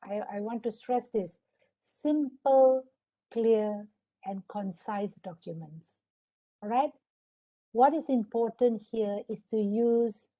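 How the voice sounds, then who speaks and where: Malaysian woman trainer on a webinar call, warm mellow tone, instructive, keeps checking in with the audience. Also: mid-range voice, rough recording. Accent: Malaysian English. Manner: instructive, often asks for agreement. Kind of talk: online webinar lecture.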